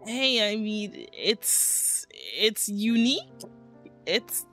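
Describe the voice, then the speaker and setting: A woman's voice: medium pitch, very slow, fairly bright tone. A woman streamer reacting to a game with frequent laughter, swearing and exclamations of disbelief. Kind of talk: casual gameplay reaction commentary. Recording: with game music behind.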